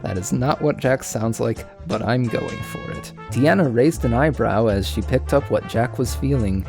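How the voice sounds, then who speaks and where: A man's voice: medium pitch, moderate pace, vocal fry, music in the background. A man reading a story aloud in an informal way, sometimes stumbling over lines. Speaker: fan fiction reader.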